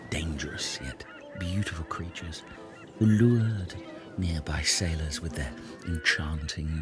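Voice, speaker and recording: Man as a deep, slow know-it-all castaway. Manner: pedantic, measured. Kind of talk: comedy audio sketch. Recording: music behind, blown-out homemade audio.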